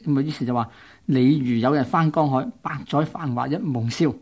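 A man's middle-pitched voice with a Hong Kong Cantonese accent. He talks as a radio co-host, telling history in a chatty, explanatory way with plenty of linking particles. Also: brisk. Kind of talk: conversational storytelling of local history.

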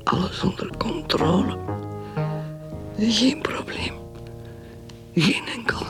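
An actress playing a lonely alcoholic woman's inner voice in a radio drama, anguished and breathy. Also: medium pitch, lively intonation, takes it slowly. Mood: anguished denial. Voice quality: breathy whisper. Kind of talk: radio drama interior monologue. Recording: guitar music behind. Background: plucked guitar music.

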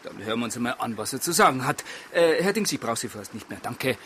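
A man playing a brisk chief of detectives in a radio drama, with a dry, formal, officious manner. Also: mid-range voice, lively intonation, fairly bright tone, slight background noise, close to an everyday voice. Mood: brisk, curt. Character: brisk, dryly sarcastic male chief of detectives.

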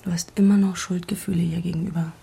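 Very breathy, hushed, low-pitched wife. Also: female voice, level tone, fast talker, exaggerated voice. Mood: hushed, concerned. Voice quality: breathy, half-whispered, creaky. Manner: hushed, intimate. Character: doctor's second wife, intimate, gently challenging. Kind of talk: German radio crime drama acting.

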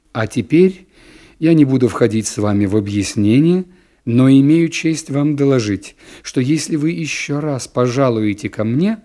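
Male narrator as stern upper-class father, cold formal threat, dark mellow tone, literary audiobook. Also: mid-range voice, close to an everyday voice.

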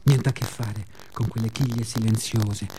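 A man's voice: fairly low pitch, steady tone, dark tone, slight background noise, pitched below the performer's own voice, lightly acted. A man voicing the sea in a radio monologue as a playful, boastful being that muses and mocks humans, drawing out words. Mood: playful, musing. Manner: playful, musing, drawn-out words, sound imitations.

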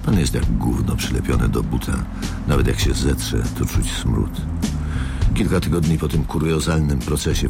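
An embittered older man in a radio drama, his voice extremely low and gravelly. He speaks with deliberate, menacing contempt, as a grandfather bent on revenge. Music plays clearly beneath him. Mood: grim, recounting. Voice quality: very deep, gravelly. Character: embittered, vengeful grandfather confronting his daughter's abuser. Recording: music under the voice.

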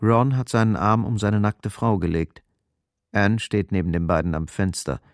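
A man's voice: on the low side, fairly even intonation, normal speed, no room sound. A man narrating a radio drama soberly, restrained and matter-of-fact. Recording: roomless dry studio, faint music behind. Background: faint music.

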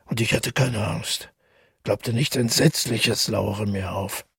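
An actor playing a withdrawn, middle-aged widower who tells his story in a radio drama, husky and gravelly, reflective and measured. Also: on the low side, a bit bright, dry recording, clean studio sound, exaggerated voice. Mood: hushed, uneasy. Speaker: narrator and protagonist. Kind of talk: literary radio drama monologue.

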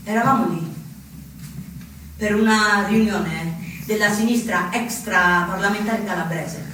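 A man acting a young anarchist recounting his fate in a theatrical stage monologue, with deliberate phrases and dramatic pauses. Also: high voice, a bit bright. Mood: solemn, declarative. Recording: very echoey, distant stage recording with noise.

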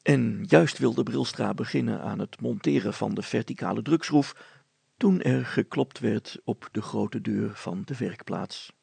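A man narrating a children's story as an expressive storyteller. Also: on the low side, a little slow, vocal fry, slightly rough, no echo.

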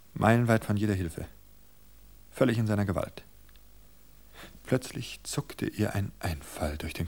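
A man reading a suspense story aloud in a measured, tense narration. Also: on the low side, gravelly, a little mellow.

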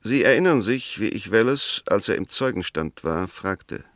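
A firm English defence lawyer in a 1960s German radio play. The voice is on the low side and recorded dry and close to studio silence. He reasons formally and methodically, pressing his points with emphasis. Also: expressive, normal speed, fairly bright tone, dry recording. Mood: convinced.

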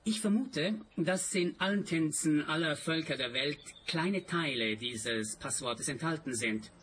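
A man's voice: on the high side, lively intonation, unhurried, a bit bright, slight background noise. A man playing an earnest, obsessive eccentric in a radio play, rapt and solemnly emphatic. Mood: rapt, fervent. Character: earnest, obsessive eccentric male seeker of mystical secrets.

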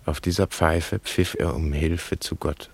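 Very deep male voice, gravelly, solemn haunted wanderer, halting, poetic radio drama. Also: fairly even intonation, crowd noise, exaggerated voice. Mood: mournful.

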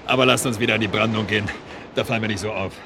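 An actor in a German radio drama playing a brazen, cocky blackmailer who was once a hired killer. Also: male voice, fairly low pitch, steady tone, very fast, vocal fry. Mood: brash, jovial. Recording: loud surf noise behind. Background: ocean waves on a beach.

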